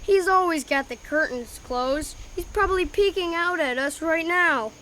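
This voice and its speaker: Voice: very high-pitched, normal speed, bright, forceful tone. A young performer playing a jumpy, suspicious boy in a radio mystery, blurting things out nervously. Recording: faint background noise.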